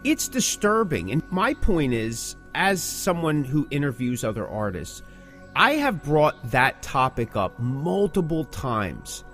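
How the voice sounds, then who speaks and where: A middle-aged man talks casually as a podcast host. His pitch moves around a lot as he stresses his points and repeats phrases in an opinionated way. Soft music plays behind him. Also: sing-song, slow pace.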